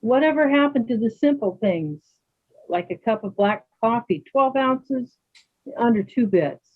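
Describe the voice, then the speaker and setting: A woman playing a calm, dignified older yoga teacher in readers theater, chatting and gently probing with questions. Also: a little high, a little slow. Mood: musing. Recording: thin phone-like band-limited sound.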